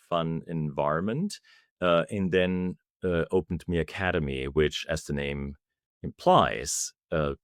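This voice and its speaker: A middle-aged man from Germany with a German accent, giving measured, explanatory answers as an interview guest. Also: rumbling low, no echo, studio quality. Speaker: guest, company founder.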